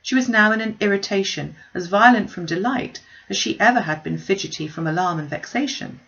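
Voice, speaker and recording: A woman reading a classic novel aloud as an expressive storyteller. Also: mid-range voice, a little fast, vocal fry, highs cut off. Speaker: audiobook reader.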